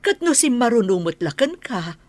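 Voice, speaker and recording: A frank, direct woman in a radio drama. Her middle-pitched voice is very expressive in its rise and fall, recorded close and dry. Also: moderate pace, dry recording. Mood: exasperated. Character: frank, unmarried older woman being shyly courted. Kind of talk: radio drama acting in Ilocano.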